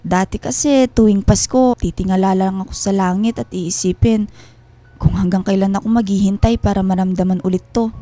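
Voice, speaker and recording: A woman's voice: medium pitch, steady tone, brisk, dark tone, dry recording, lightly acted. An actress playing a hurt, weary wife and mother in an emotional, earnest Filipino radio drama. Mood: tender, heartfelt. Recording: music bed under the voice.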